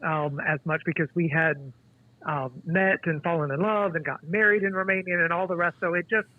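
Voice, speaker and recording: A man in his forties, a guest author, speaking in a halting, thoughtful way with many restarts. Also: a little high, fairly even intonation, no echo. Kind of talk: casual interview conversation. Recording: tinny dry phone line.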